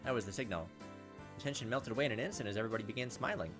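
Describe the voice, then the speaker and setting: Male let's play host, mid pitch, lively swings, reads narration with wry comments over music. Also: racing. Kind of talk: reading game text aloud with casual commentary. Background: game music.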